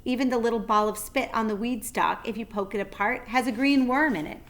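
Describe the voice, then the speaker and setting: A woman's voice: on the high side, brisk. Woman reading a children's storybook aloud. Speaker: read-aloud narrator.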